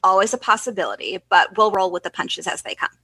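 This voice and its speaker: Radio comedy science commander, female actor: brisk, self-assured, prickly, sharp husky voice, dry sound. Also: medium pitch, sing-song, no echo, heavily acted. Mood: confident, brisk. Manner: brisk, confident, quick to bristle. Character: capable, feisty female space-station science commander. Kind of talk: comedy sci-fi radio acting.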